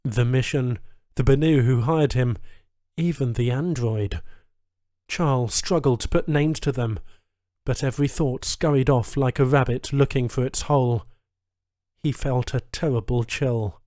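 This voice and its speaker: A male narrator reads science fiction with slow, deliberate pacing. His pitch is slightly low and his delivery is measured, dramatic and suspenseful. The recording is dry and close, with no room echo. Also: mellow. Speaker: audiobook narrator.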